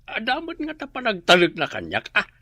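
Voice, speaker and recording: A man acts out an old man who loves his wine in a comedy radio play. His voice is bright and forward, with lots of swing in the pitch. The sound is dry and close. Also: wildly swooping pitch, fairly quick, husky, dry recording, full character voice. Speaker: radio drama actor. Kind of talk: Ilocano comedy radio drama acting.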